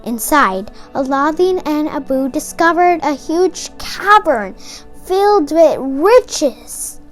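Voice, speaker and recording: A storyteller reading a children's storybook aloud in an expressive, dramatic way. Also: high voice, slow. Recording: music behind the voice. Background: music.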